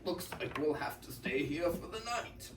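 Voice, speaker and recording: A man's voice: fairly high pitch, expressive, normal speed, lightly acted. A teenage boy playing an earnest, bossy old donkey who leads the animals in a classroom reader's theater. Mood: practical. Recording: echoey classroom, distant mic, faint noise.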